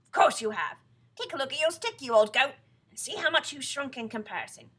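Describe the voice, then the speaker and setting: A woman voicing a cruel older husband from a children's storybook, who speaks in emphatic, drawn-out, mock-solemn pronouncements. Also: sits high, animated, heavy background noise, voice pushed up. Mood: taunting. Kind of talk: children's storybook character reading.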